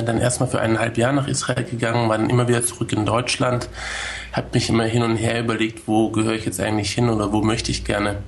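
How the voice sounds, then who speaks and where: Man, gravelly voice, flat delivery, hesitant with fillers, faint noise on a call line. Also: fairly low pitch. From Germany. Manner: hesitant, many fillers, thoughtful. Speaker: guest, tour guide. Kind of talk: interview over video call. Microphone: video call from home.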